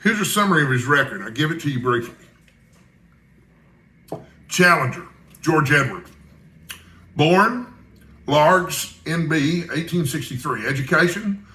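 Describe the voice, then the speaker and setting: A man's voice: on the high side, bright, forceful tone, reverberant. A man voicing a kindly, shrewd older Scottish news editor from a storybook, with a wry, expansive manner.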